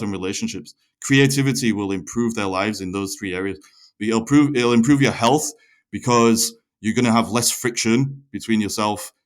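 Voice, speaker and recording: Low-pitched male podcast host, long-winded. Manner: long-winded, self-correcting. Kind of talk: conversational interview with long reflective explanations.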